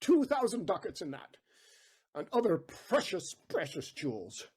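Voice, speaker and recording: An actor playing a bitter, vengeful moneylender and betrayed father in a Shakespearean audio play, with a husky voice and theatrical, emphatic delivery. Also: high voice, wildly swooping pitch, unhurried, heavily acted. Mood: anguished grief. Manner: theatrical, emphatic, swinging between hushed and loud.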